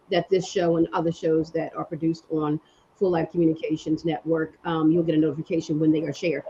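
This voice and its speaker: A woman's voice: mid-range voice, dull audio, a little hiss or hum. A woman hosting and producing a live online panel show, chatty with lots of fillers, speaking over a video call. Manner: friendly, chatty with fillers, multitasking. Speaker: host and executive producer.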